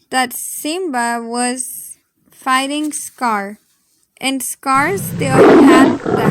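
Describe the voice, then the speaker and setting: A woman reading a children's storybook aloud in an expressive, deliberate, dramatic way. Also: sits high, no room sound.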